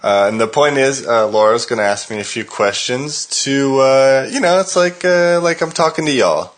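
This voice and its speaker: A man's voice: mid-range voice, moderate pace. An American man from California hosting a podcast in casual conversation, talking with many hesitations and restarts. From California, United States. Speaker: podcast host.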